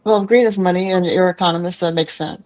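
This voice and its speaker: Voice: medium pitch, quick pace, over a noisy crowd. A podcast host asking playful, teasing questions in a casual chat.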